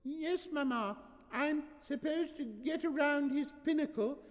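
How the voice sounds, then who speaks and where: Storybook little girl, performed by a man: innocent, dutiful, naive recital in high falsetto, reverberant. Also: a little slow.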